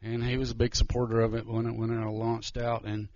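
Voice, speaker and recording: A man's voice: mid-range voice, completely flat delivery, darkish tone, lo-fi sound. Nervous man giving testimony. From Georgia, US. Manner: nervous, halting, many restarts. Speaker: missionary. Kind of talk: church testimony talk.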